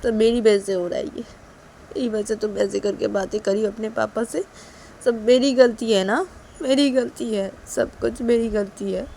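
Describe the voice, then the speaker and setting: A woman voices a wounded, reproachful mother in a homemade story drama. She admonishes a child over and over and turns the blame on herself, with clear background noise. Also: a little high, fairly even intonation, moderate pace, mellow. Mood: hurt. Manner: repetitive, self-blaming, admonishing. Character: hurt, reproachful mother gently scolding a child. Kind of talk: amateur story acting.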